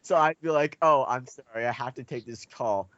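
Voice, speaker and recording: A man's voice: medium pitch, moderate pace, highs cut off. A man co-hosting a podcast, chatting casually and telling anecdotes, acting out what people said. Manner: animated storyteller, acts out reported speech. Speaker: podcast co-host.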